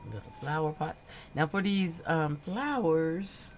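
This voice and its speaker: A woman with a slightly nasal, stuffed-up voice from a cold, chatting slowly and unhurriedly as she crafts on a livestream. She narrates each small step while she works, with a faint hum of noise in the background. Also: low-pitched. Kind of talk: relaxed crafting livestream chat.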